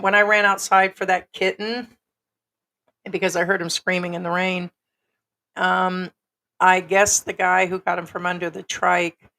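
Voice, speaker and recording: A woman's voice: on the low side, sharp tone. A woman co-hosting a livestream, telling a story in a casual, rambling way with lots of fillers. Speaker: livestream co-host.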